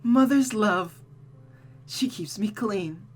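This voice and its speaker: A woman's voice: mid-range voice, lively intonation, slow, voice pushed up. A woman voicing a seductive, taunting, unhinged female creature of vengeance and desire from a visual novel, in a mocking, cooing, theatrical manner. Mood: exalted, possessive. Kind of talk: visual novel character read-aloud.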